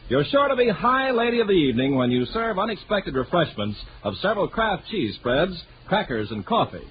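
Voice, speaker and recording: A man's voice: high-pitched, big pitch swings, a little fast. An American man reading a scripted 1940s radio advertisement as the announcer. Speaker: commercial announcer. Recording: muffled, very noisy 1940s radio recording.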